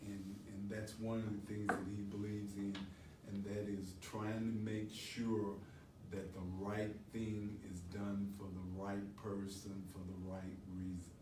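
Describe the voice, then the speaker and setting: Slow, deliberate older man, echoey room. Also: fairly low pitch, monotone, darkish tone. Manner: deliberate, halting, earnest. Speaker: church deacon giving an endorsement. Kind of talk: unscripted endorsement speech. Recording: echoey room, distant mic, faint noise.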